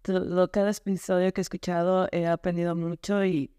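A woman's voice: lowish voice, level tone, a little fast, dark tone, clean tone, no echo, clean studio sound. A woman with an Ecuadorian accent telling her story casually as a podcast guest, often searching for words. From Quito, Ecuador.

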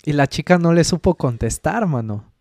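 A young Mexican man who co-hosts a podcast and speaks in a Mexican accent, telling stories in a relaxed way and acting out the people he quotes. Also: a little high, moderate pace, dry recording, clean studio sound. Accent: Mexican Spanish. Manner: chatty storyteller, acts out quoted voices, says "mano" a lot. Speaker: podcast co-host. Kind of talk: casual chat.

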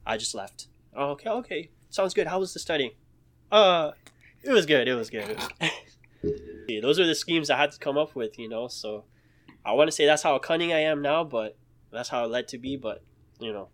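A man's voice: fairly high pitch, expressive, normal speed, a bit bright. A young man telling stories from his youth in a casual podcast chat, laid back, full of slang and laughing through his stories.

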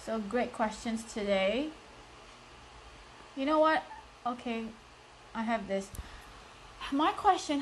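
A woman's voice: mid-range voice, slight background noise, rough recording. A woman in her thirties with a strong Singaporean accent, a life coach giving a live motivational talk and speaking straight to her viewers, full of "like" and "you know". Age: 30s. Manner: direct and encouraging, addresses the audience, many "like" and "you know" fillers.

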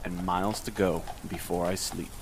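Male poetry reader, lowish voice, verse recitation. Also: steady tone, moderate pace, background noise. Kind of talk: poem recitation.

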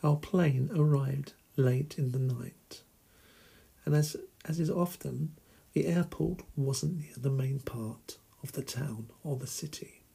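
A middle-aged man from England hosting a solo podcast, talking slowly and deliberately in a husky, gravelly voice. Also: mid-range voice, warm tone. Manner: slow, deliberate and plain. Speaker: podcast host. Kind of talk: slow solo podcast monologue.

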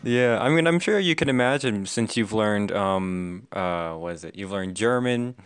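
A man's voice: fairly low pitch, normal speed, smooth, dry recording. A young adult American man talking casually and reflectively in a conversational interview. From United States. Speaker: language learner being interviewed.

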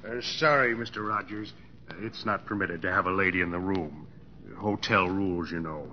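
An actor playing an officious but timid hotel employee in an old radio drama, hesitant, polite and easily embarrassed. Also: male voice, low voice, normal speed, lightly acted. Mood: awkward, officious. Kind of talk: 1950s radio drama acting. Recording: muffled, hissy old radio recording.

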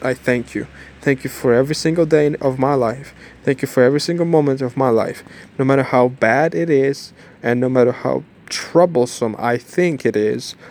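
A man's voice: mid-range voice, a little mellow. Young man rambling a pep-talk. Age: young adult. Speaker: vlogger. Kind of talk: casual motivational vlog. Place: outdoors in the cold.

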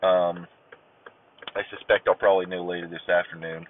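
A man's voice: deep voice, flat delivery, normal speed, slight background noise. Stuffy-voiced rambling male podcast host. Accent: Ohio. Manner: rambling, many ums and uhs, self-deprecating. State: stuffy, maybe a cold, clearing throat. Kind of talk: casual solo podcast chat. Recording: tinny phone-like audio.

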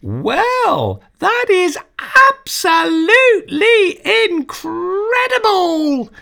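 Man putting on an excitable young girl. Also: very slow, vocal fry, exaggerated voice. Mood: amazed. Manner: high, breathless excitement. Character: excitable nine-year-old girl, bold.

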